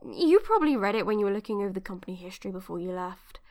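A woman's voice: medium pitch, lively intonation, racing, a little mellow, lightly acted. An actress playing a matter-of-fact, reassuring woman informant in an audio drama.

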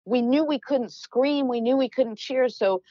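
A middle-aged woman, a former team executive, telling a story as a podcast guest in a loud, animated way that gets worked up. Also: sits high, a little slow, no room sound, dull audio. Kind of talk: storytelling in conversation.

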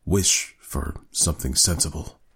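Storybook sergeant major, middle-aged, male narrator performing: very low, slow, gruff, solemn and haunted. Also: steady tone, a little mellow. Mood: gruff warning. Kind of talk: storybook character reading.

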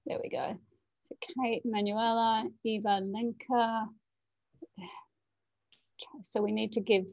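Slow-talking woman on dull call audio. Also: a little high, level tone, vocal fry, no room sound. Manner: hesitant, full of ums while multitasking. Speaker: co-host managing breakout rooms. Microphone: video call.

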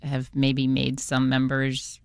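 A woman's voice: a bass voice, completely flat delivery, slow, creaky, smooth, dry recording. A woman on a radio news roundtable in a studio, speaking thoughtfully and building on the other guests' points. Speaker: reporter panelist.

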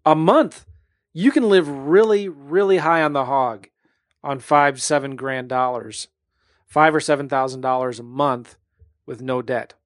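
A man's voice: medium pitch, a little slow, dry recording, studio quality. A man hosting an interview, chatting casually and tossing in tag questions. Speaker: podcast host.

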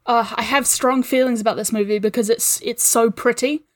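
Woman, podcast guest, slightly high pitch, very dry recording, casual film discussion, enthusiastic. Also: steady tone, normal speed, no room sound.

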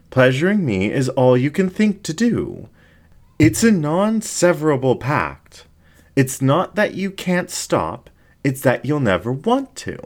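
A man voicing a seductive, haughty fox incubus ringmaster in a story, with a drawn-out, theatrical, teasing delivery. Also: medium pitch, a little mellow, voice pushed up.

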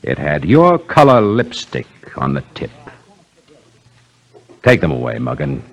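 Old radio detective inspector, clipped confident talk, really varied pitch. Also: male voice, wildly swooping pitch, a little slow, crowd noise, exaggerated voice. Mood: authoritative. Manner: clipped, assured, explanatory. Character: brisk, authoritative police inspector exposing the culprits. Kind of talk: 1950s radio drama acting.

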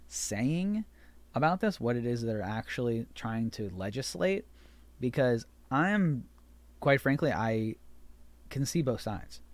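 A man's voice: mid-range voice, dry recording. Chatty man in his thirties hosting. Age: 30s. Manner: rambling, chatty, self-correcting. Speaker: podcast host. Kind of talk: casual chat.

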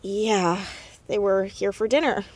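A woman playing a sharp, nosy fourteen-year-old girl detective in a naturalistic audio drama, quick and probing. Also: mid-range voice, natural voice. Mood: defensive, teasing.

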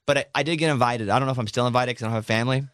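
A man hosting a radio show in casual chat, rambling and cutting himself off, his voice cracking and going hoarse. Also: medium pitch, steady tone, fairly bright tone, no room sound. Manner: fast, rambling, self-interrupting. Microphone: studio microphone.

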